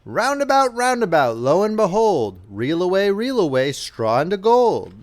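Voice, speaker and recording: A sly little storybook hobgoblin as played by a man, pitched high and swooping. He bargains in a wheedling, theatrical singsong, droll and full of tricks. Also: big pitch swings, normal speed. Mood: gleeful singsong. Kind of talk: storybook character voice in a fairy-tale reading.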